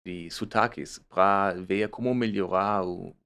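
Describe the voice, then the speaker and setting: Man, German accent, low pitch, gravelly, hesitant, repeats words, interview guest. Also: steady tone, slow, fairly bright tone. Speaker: startup founder guest. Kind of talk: casual interview talk.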